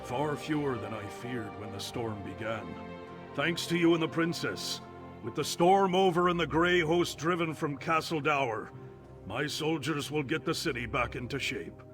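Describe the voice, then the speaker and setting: A man's voice: on the high side, a little slow, a bit bright. An actor playing an earnest male guard commander in a video game, with background music. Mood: grave. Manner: earnest, grave, soldierly. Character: male city guard commander, steady and loyal.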